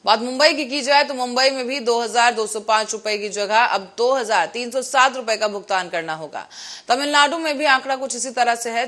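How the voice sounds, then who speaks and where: A woman's voice: a little high, a bit bright. A woman news anchor reading scripted headlines and reports.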